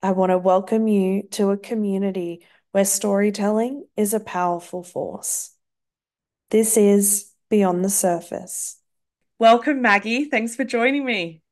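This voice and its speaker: An Australian woman hosting a podcast, reading a gentle, earnest scripted welcome and then chatting casually with her guest. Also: mid-range voice, fairly even intonation, a little slow. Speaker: podcast host. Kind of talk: scripted intro then casual interview chat.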